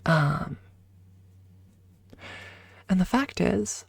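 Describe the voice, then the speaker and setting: Breathy, slow-talking ASMR woman, close and dry. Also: lowish voice, expressive, no echo. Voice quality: breathy, soft. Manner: halting, informal, drifting between whisper and soft talk. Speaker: ASMR streamer. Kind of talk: ASMR casual chat, often whispered. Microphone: close microphone.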